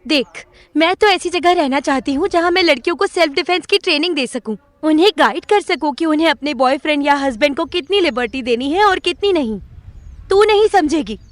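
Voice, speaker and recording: Woman acting a high-pitched, feisty big-sister type. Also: moderate pace, dry recording, lightly acted. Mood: assertive, teasing. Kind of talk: Hindi audio drama acting.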